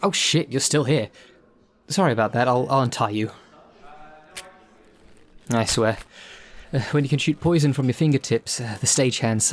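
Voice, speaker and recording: A man's voice: medium pitch, natural voice. A man playing a chatty, self-deprecating supervillain for hire in an audio roleplay, rambling politely and hesitantly. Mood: apologetic, polite. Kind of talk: audio roleplay, conversational in-character monologue.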